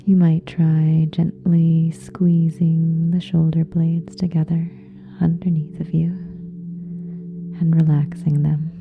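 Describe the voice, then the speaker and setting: A woman softly guides a relaxation meditation in a breathy, soothing hush. Her delivery is very even and slow, with long pauses between short phrases. The sound is slightly dull and muffled. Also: sits low. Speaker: yoga nidra meditation guide. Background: soft meditation music with singing bowls.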